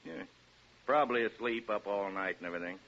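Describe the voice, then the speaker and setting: A man's voice: fairly low pitch, lively intonation, quick pace. An actor playing a breezy, placating American property salesman with a folksy, glib manner, in a 1940s radio drama. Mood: calling out. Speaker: real estate agent. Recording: dull, noisy 1940s radio recording.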